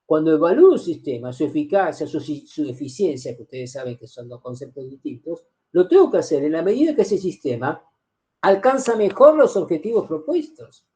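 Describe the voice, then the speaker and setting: Middle-aged man, university lecturer: animated, repetitive, keeps checking students follow. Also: fairly high pitch, fairly quick. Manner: explanatory, repeats key phrases, asks students rhetorical questions.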